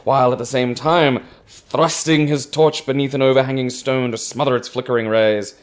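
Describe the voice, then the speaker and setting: A mid-pitched male co-host chats casually and reads fiction aloud. He is sarcastic, swears freely, and treats the story as something to mock. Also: steady tone, moderate pace. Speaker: co-host of a gaming show.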